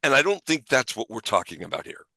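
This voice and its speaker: A man co-hosting a casual podcast discussion, halting as he thinks aloud and rephrases his points. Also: medium pitch, big pitch swings, vocal fry, sharp tone, no echo, clean studio sound. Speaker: podcast co-host.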